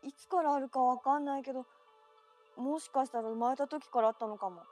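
A woman's voice: high-pitched, quick pace, darkish tone, soft background music, natural voice. A woman performing in an amateur radio drama as a curious young woman reacting eagerly to her friends.

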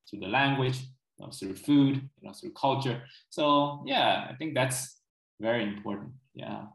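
A man's voice: fairly low pitch, vocal fry, a bit bright. A young man speaks as an interview guest in a casual, conversational way. He explains at length and keeps checking that the listener is following. His voice carries a noticeable room echo. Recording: roomy echo.

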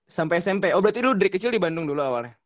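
High-voiced young man teasing his friends. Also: lively intonation, very fast, dry recording, lo-fi sound. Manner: teasing, laughs often, swears playfully. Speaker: podcast host. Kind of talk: casual podcast chat.

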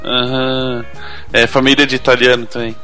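A man's voice: medium pitch, flat delivery, normal speed, bright, forceful tone, faint music behind. A man hosting a talk show, teasing his co-host and reading out listener mail. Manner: joking, teasing banter. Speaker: podcast host.

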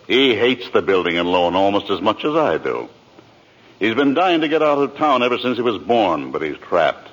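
An actor playing a shrewd, domineering old businessman in a radio drama, pompous, deliberate and condescending. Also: lowish voice, fairly bright tone, breathy edge, full character voice. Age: older. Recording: muffled vintage radio broadcast, long reverb.

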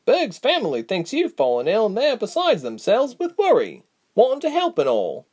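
A man voicing a blunt woman healer who speaks in a calm, level, scolding way. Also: high-pitched, normal speed, breathy edge, voice pushed up, heavily acted. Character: blunt, sharp-tongued woman healer, calm but livid.

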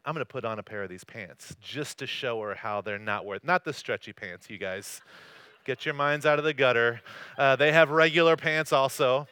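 Bright-voiced joking male pastor. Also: mid-range voice, moderate pace, clear, resonant tone, dry recording. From North Carolina, USA. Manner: joking, self-deprecating, loose storytelling. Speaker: campus pastor. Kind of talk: conversational sermon with personal stories. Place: church service.

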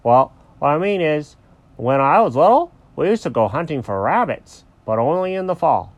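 Storybook dog, voiced by a narrator: male, goofy, eager, folksy, with lively swings in pitch. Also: moderate pace, dry recording, lo-fi sound. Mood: earnest. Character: goofy, eager, good-natured male dog named Alfred.

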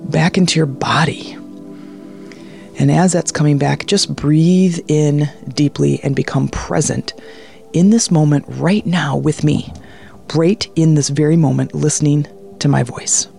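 A meditation guide with a slow, unhurried delivery and a gravelly creak in the voice. The tone is soothing and hushed, leading a guided meditation over faint music. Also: mid-range voice. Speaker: meditation guide, podcast host.

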